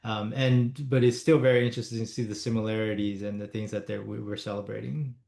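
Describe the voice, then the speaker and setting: A man's voice: fairly low pitch, level tone, quick pace, gravelly, a little mellow. A man from Toronto giving a personal account as a parent panelist. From Toronto, Canada. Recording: roomy, echoey event space.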